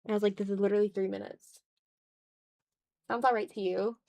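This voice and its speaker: A woman's voice: medium pitch, little pitch movement, a little fast, gravelly, a little mellow, dry recording, highs cut off. A tired woman chatting casually on an art livestream while she draws, self-deprecating and often trailing off into muttering to herself.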